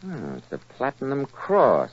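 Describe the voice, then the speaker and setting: A man with an American accent announcing and pitching a sponsor in a polished, emphatic 1940s radio style. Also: huge pitch swings, measured pace, breathy edge, dry recording. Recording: muffled old radio recording.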